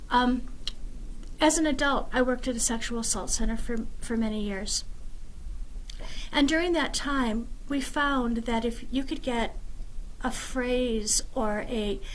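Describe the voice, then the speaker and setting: A middle-aged woman speaks reflectively as a guest poet. Her voice sits fairly high, and she stops to think with small fillers. A faint hiss sits under the recording. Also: poor audio. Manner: thoughtful, warm storytelling with fillers. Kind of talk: casual reflective conversation.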